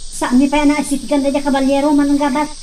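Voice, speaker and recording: A spirited young country daughter, played by a woman in a radio drama, very high in pitch. She speaks in indignant protest. The voice sits away from the microphone. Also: little pitch movement, fast, dark tone, recorded from a distance, a little hiss or hum, close to an everyday voice. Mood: startled, questioning. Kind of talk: Ilocano radio drama acting.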